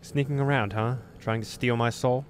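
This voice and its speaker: A man's voice: medium pitch, steady tone, normal speed, natural voice. A man voicing a teasing, menacing young girl in a horror visual novel, with a sly, taunting manner.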